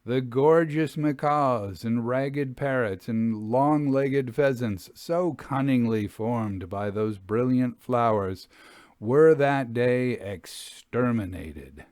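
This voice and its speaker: A male audiobook narrator reads literary fiction in a measured, deliberate manner. His pitch is average for a man and the recording is close, with hardly any room sound. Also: darkish tone, no echo. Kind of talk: scripted literary story narration.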